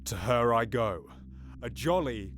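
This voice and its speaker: A man's voice: mid-range voice, expressive, a little slow, a little hiss or hum, lightly acted. A man playing a ruthless, scheming king in an audio drama, mocking and sly, moving from murmurs to gleeful outbursts. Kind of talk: Shakespearean audio drama acting.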